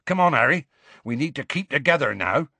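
A man voicing a huge, gruff, evasive gamekeeper with rustic colloquial speech in an audiobook. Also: fairly high pitch, expressive, brisk, sharp tone, no room sound, voice pushed up, exaggerated voice. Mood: dismissive. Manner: colloquial rural speech, dropped words.

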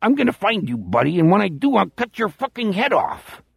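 Guy doing a creepy old cannibal killer, taunting, husky, swoopy. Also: high-pitched, big pitch swings, measured pace, no echo, studio quality, pitched above the performer's own voice, heavily acted. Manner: menacing, taunting, gleeful. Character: deranged old male cannibal killer, Chicago voice.

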